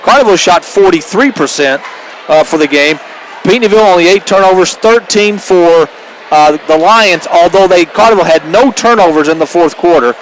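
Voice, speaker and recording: A man's voice: sits high, normal speed, rough recording. An American man giving emphatic post-game radio analysis as a color commentator, stressing points and reading out statistics. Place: at a high school game venue. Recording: steady background noise from game venue.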